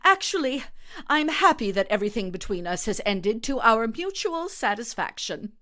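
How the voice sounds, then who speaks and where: A woman's voice: fairly high pitch, very animated, normal speed, pushed and bright. A woman reading a short story, voicing a volatile lovelorn woman who is coy, haughty and theatrical. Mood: cold, haughty. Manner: theatrical, swinging between coy and fierce.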